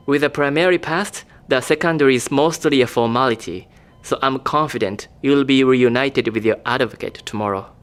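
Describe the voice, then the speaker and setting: A man acting in an audio drama as a calm, grave doctor at a facility, speaking in a measured, deliberate, clinical way. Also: mid-range voice, lightly acted. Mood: calm, reassuring. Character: calm, grave male facility doctor breaking bad news.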